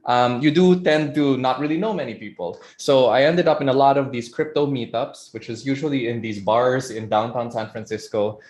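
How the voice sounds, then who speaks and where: A man from the Philippines speaks in a relaxed, conversational way, stopping for fillers as he tells a story during a presentation. His voice sits at an ordinary pitch. The sound is roomy and echoey. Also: normal speed, hardly any pauses. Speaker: webinar speaker. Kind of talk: presentation talk.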